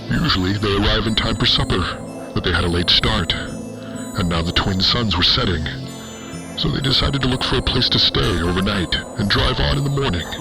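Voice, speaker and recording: Guy doing a brooding space villain reading a ghost story, low gravelly, self-important, music behind. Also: close to an everyday voice. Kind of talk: scripted radio-style ghost storytelling.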